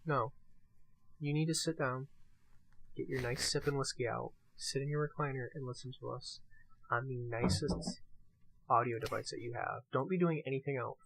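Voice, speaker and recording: A man's voice: mid-range voice, normal speed, vocal fry, fairly bright tone, breathy edge, rough recording. Bantering man co-hosting a casual podcast. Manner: bantering, mock-serious, swearing freely. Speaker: podcast co-host. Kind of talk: casual joking podcast chat.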